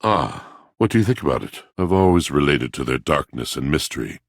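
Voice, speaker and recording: A man's voice: a bass voice, sing-song, brisk, creaky, no echo, studio quality, exaggerated voice. A man voicing a pompous, bitter washing machine with a poet's florid, theatrical diction in a video game. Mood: lofty, musing. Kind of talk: theatrical dramatic game dialogue.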